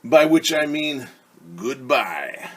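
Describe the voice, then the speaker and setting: A sly, hungry storybook wolf, as a man reads him, drawing out his words slowly. He is silky and falsely polite. The voice is husky and breathy. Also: medium pitch, very animated, fairly bright tone, heavily acted. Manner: slow, oily and menacingly polite. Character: sly, smooth-talking hungry male wolf.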